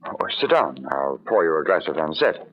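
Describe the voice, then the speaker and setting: An actor playing an ardent, brooding settler in an old radio drama, speaking in an earnest, formal, dramatic way. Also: male voice, extremely low pitch, very animated, brisk. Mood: guardedly polite. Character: ardent, brooding male settler in a colonial outpost. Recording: muffled, noisy old radio recording.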